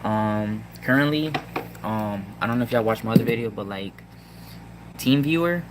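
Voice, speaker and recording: A man with a Puerto Rican accent chatting casually to his viewers about a handheld gaming device, halting with lots of ums and restarts. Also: lowish voice, background noise. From Puerto Rico. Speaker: tech vlogger. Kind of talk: casual rambling tech talk to viewers.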